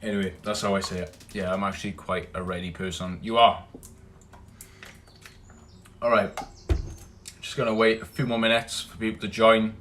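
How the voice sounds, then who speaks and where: A young Welsh man hosting a casual livestream, greeting viewers by name and chatting loosely, loud when he greets people and low and mumbled when he muses. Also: flat delivery, moderate pace, long pauses, slight background noise. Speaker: livestream host. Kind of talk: casual livestream chat reading comments.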